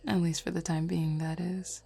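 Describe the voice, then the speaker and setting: A woman voicing a flirty, self-assured female werewolf in an intimate roleplay audio, teasing softly and without hurry. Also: fairly low pitch, flat delivery, moderate pace, creaky, slightly rough, faint music behind. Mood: flirty, confident.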